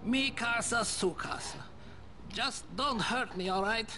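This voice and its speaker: A frightened old man in a video game. He pleads submissively in a high voice for a man, with clear game noise behind him. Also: a little slow. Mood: pleading. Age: older.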